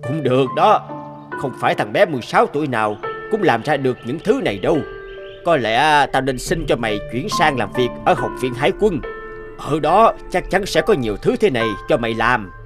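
An actor playing a stern, scheming middle-aged factory boss in a cartoon, blunt and domineering. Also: male voice, medium pitch, expressive, fairly quick, music in the background, natural voice. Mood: calculating, persuasive. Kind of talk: cartoon dubbing.

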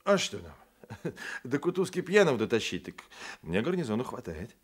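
Swaggering, animated folksy Cossack constable. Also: male voice, mid-range voice, breathy edge. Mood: sly, jaunty. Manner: rustic colloquial speech, unhurried yarn-spinning. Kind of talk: radio play acting.

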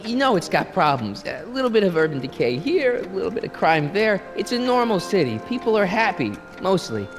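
Exasperated, earnest teen hero, animated game. Lively high pitch, casual and incredulous, long reverb with faint music. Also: male voice, fairly quick, few pauses. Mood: earnest, reassuring. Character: earnest, exasperated teenage boy time traveler. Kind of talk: animated video game acting.

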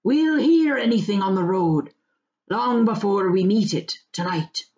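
A woman voicing a gruff old male hobbit farmer who speaks in blunt country talk, on slightly dull audio. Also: medium pitch, animated, a little slow, darkish tone. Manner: rustic country speech, bossy.

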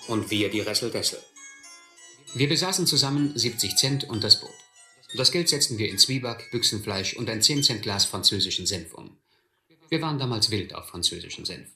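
An actor playing a reflective man recalling his runaway youth, narrating a radio play in measured, literary sentences. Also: lowish voice, lively intonation, vocal fry, sharp tone, reverberant, faint music behind, full character voice. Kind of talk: first-person scripted narration in German radio play.

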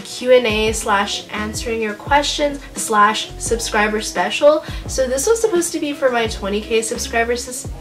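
A woman's voice: on the high side, normal speed, hardly any pauses, a bit bright, soft background music. A teenage young woman with an American accent chatting casually to camera in an informal, self-deprecating way full of slang. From Honolulu, Hawaii, United States. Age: teenager, about 16 to 17. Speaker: vlogger. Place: small bedroom, indoors.

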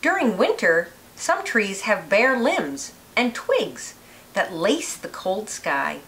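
A woman reading a children's picture book aloud with deliberate, expressive phrasing. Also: mid-range voice, slight background noise. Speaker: read-aloud narrator, teacher.

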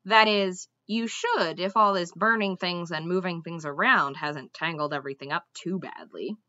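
A woman's voice: lowish voice, normal speed, bright, forceful tone, muffled recording, voice pushed down. A woman voicing a bossy, huffy female squirrel who lectures emphatically in a storybook read-aloud. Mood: brisk, huffy.

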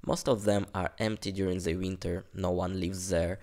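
A Russian-accented man in his twenties talks in a relaxed, chatty vlog manner. His voice sits a little low, and his phrasing sometimes pauses and restarts as he searches for words. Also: gravelly. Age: 20s. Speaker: vlogger giving a house tour. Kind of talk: casual vlog narration. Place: outdoor garden plot. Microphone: phone at arm's length.

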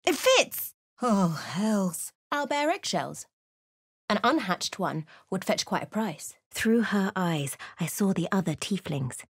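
A woman voicing an observant adventuress in a fantasy video game, with measured, literate, dramatic delivery and wry asides. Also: medium pitch, big pitch swings, slightly rough, no room sound, clean studio sound, heavily acted. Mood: exclaiming. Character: observant young adventuress, a fantasy game companion recounting her journey. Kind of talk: video game voice lines, dramatic narration and remarks.

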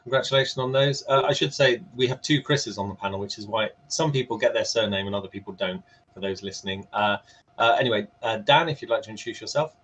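A man's voice: fairly low pitch, quick pace, a bit bright, slightly rough. A man moderating an unscripted panel over a video call, hesitating and repeating words as he goes. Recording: muffled, band-limited video call.

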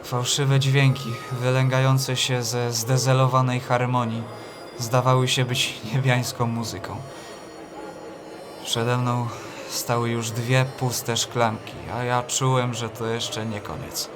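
A man's voice: mid-range voice, flat delivery, takes it slowly, noisy background, close to an everyday voice. A man playing an anxious young seminary student who drinks to escape, telling his story in literary radio drama style. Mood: introspective. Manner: literary, introspective. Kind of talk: first-person radio drama narration and acting. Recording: music under the voice.

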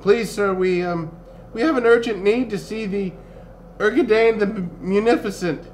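A man's voice: high-pitched, normal speed, darkish tone, a little hiss or hum, voice pushed up, close to an everyday voice. A man voicing a timid, earnest young farm boy who stammers deferentially in a fantasy story. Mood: nervous.

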